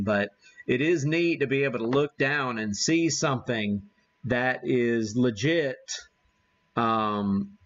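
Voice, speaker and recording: A man hosting a live hobby stream, giving a casual demo and thinking aloud in a halting way. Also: medium pitch, a bit bright, dull audio. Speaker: ham radio live stream host.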